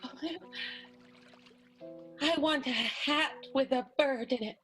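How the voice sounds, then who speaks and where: An actress playing a timid, adoring, melodramatic wife with a hesitant delivery in an improvised radio soap opera. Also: female voice, sits high, slow, fairly bright tone, soft background music. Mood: pleading. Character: breathlessly adoring, timid, dramatic wife of film star.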